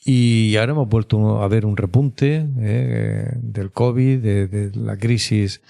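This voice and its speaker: A man with a Spanish accent from Spain talks in a low, gravelly voice with a mellow tone. He explains things conversationally, like an expert being interviewed, and keeps checking that the listener follows. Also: steady tone. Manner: measured, explanatory, often seeking agreement with tag questions. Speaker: clinical psychologist, interview guest.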